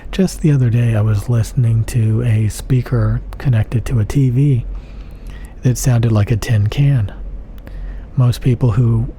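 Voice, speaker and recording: A man talking straight into a close studio mic in a quiet home recording room. His talk is emphatic and repetitive, with long strings of adjectives and some stretches dropping into a whisper. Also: mid-range voice, a little hiss or hum. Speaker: audio gear reviewer. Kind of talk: casual spoken monologue. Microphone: close-up studio condenser mic.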